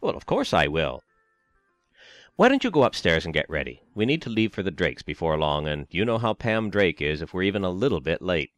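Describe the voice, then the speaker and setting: An actor playing a concerned elderly husband who gently coaxes and reassures his wife. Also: low-pitched, big pitch swings, a little fast, no echo, soft background music. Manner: coaxing, reassuring.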